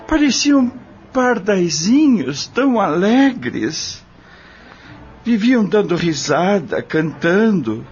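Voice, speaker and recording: A man acting an earnest, anguished farm worker in an audio drama, with emotional, emphatic, plain country speech. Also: a little high. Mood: anguished, wistful. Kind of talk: dramatic radio-novela acting. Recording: dull lo-fi audio drama recording. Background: prominent background music.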